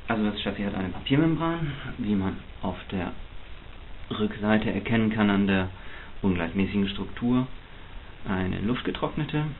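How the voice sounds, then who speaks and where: Low-voiced man, thin hissy recording. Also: lively intonation, normal speed. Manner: technical, explanatory. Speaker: hobby loudspeaker reviewer. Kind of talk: spontaneous unboxing commentary. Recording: muffled phone-like sound, clear hiss.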